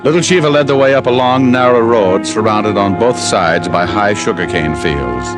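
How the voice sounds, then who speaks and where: A man's voice: fairly low pitch, close to an everyday voice. An actor playing a wry, unflappable private detective who narrates his own case in old-time radio style. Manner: wisecracking, clipped. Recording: old radio drama, music behind.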